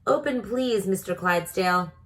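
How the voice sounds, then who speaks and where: Woman reading as kindly, excitable male dentist. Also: mid-range voice, normal speed, lightly acted. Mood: kindly, proud. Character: kindly male dentist, tinkerer, excitable when things go wrong.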